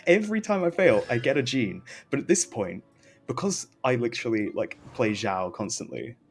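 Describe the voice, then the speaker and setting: A man's voice: mid-range voice, lively intonation, moderate pace, soft background music. A man chatting casually as he streams a game, rambling and often interrupting himself, with some noise on the recording. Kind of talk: casual gaming stream chat.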